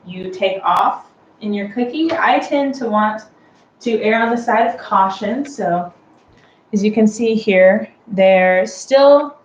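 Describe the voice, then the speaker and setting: Casting: woman, home baking host, slow and chatty explainer, recorded at a distance from the mic. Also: mid-range voice, lo-fi sound. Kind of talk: casual unscripted how-to demonstration. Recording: roomy, distant mic with clear background noise.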